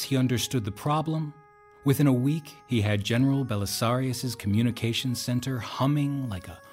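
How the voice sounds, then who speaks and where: An actor playing a reflective storyteller, narrating an audio drama in a measured, literary manner. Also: male voice, on the low side, natural voice. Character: reflective male storyteller, former subordinate of a captain.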